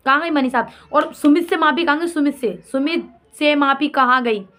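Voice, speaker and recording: A woman's voice: high voice, normal speed, fairly bright tone. A woman vlogger giving an unscripted, opinionated rant, indignant and scolding, pressing her points with rhetorical questions and repetition. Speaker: vlogger commenting on a personal drama. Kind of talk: unscripted opinionated rant addressed to absent people.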